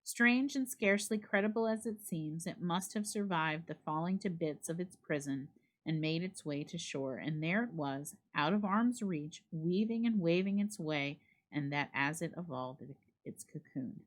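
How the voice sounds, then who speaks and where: A woman reads a literary horror tale aloud in a slightly low voice. Her storytelling is measured and expressive, delivered as a dramatic reading. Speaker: library staff reader.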